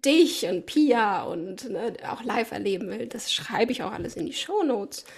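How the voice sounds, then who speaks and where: A woman's voice: on the high side, expressive, unhurried, gravelly, husky. Giggly woman hosting a podcast. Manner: laughs often, warm and encouraging. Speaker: podcast host. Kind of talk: casual interview chat.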